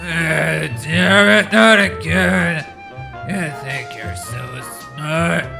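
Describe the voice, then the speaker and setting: A man playing a grumpy, blustering armed robber in an audio drama, gravelly and irritable. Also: a little high, drawn-out words, over music, heavily acted. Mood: angry threats. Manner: muttering, irritable.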